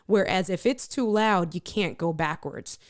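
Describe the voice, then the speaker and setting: A woman with a strong, loud voice hosting a live stream. She tests microphones and talks straight to her viewers in an energetic, playful way. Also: lowish voice, measured pace. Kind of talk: casual live stream chat. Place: room with little furniture. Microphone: switching between test microphones at different distances.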